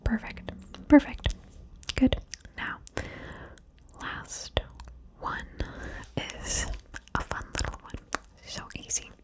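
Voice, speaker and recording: A woman whispering slowly and softly, coaxing and encouraging the listener through a word-game ASMR session. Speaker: ASMR host guiding the listener.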